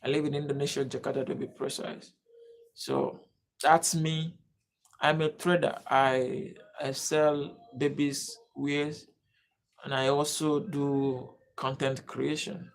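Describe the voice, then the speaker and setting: A man's voice: on the high side, flat delivery, slow, vocal fry, poor audio. A man from Nigeria, hosting a live-streamed lesson and repeatedly pleading with viewers to comment and subscribe. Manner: repetitive, pleading appeals to viewers. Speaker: livestream host and teacher.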